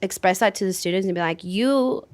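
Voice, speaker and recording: A woman in a casual podcast discussion, talking in an animated, chatty way. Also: medium pitch, a little fast, no echo.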